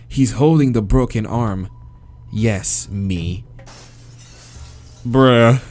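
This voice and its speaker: American guy doing a slow dramatic read of a visual novel, slangy reactions thrown in, music going behind him. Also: medium pitch. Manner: expressive, slangy asides and exclamations. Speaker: let's-play host reading a visual novel.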